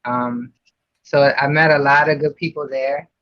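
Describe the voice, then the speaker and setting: A man's voice: a little high, fairly even intonation, measured pace, pushed and bright, no room sound, soft background music. A man from Puerto Rico, a podcast guest talking casually about his childhood, with many fillers and restarts. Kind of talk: casual interview. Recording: dull lo-fi sound.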